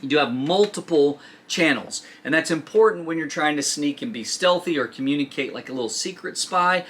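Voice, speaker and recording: Bright-voiced man joking through a gadget demo. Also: on the high side, expressive, brisk, slight background noise. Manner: joking, teasing, informal. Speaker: host of a product review show. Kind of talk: casual unboxing and product demo. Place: studio.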